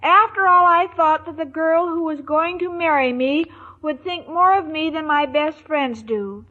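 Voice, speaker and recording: A woman's voice: sits high, measured pace. A woman acting a naive, scatterbrained, lovestruck young woman in a 1940s radio sitcom. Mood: naive, lovesick. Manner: naive, earnest, muddles her words. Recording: dull old radio recording, studio audience.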